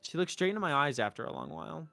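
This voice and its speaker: A man's voice: on the high side, lively intonation, very fast, gravelly, bright, forceful tone. A man streaming a visual novel playthrough, narrating and reading the player character's part. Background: music.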